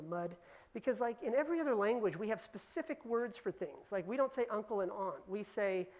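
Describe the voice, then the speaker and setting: Chatty woman teasing a live audience. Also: mid-range voice. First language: Punjabi. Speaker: keynote speaker. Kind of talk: conference talk to a live audience.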